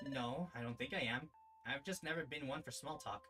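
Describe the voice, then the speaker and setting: A man voicing a stoic, reserved female character in a terse, measured, deadpan way while reading a visual novel. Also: medium pitch, over music, lightly acted. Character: stoic, terse, reserved woman burdened by order.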